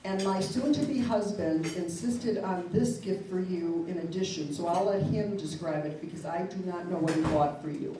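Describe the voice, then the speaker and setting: A woman's voice: on the low side, moderate pace, hardly any pauses. A woman presenting gifts at a dinner, chatty, with fillers and laughs. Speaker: event organizer presenting gifts. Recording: echoey hall from far off, noisy.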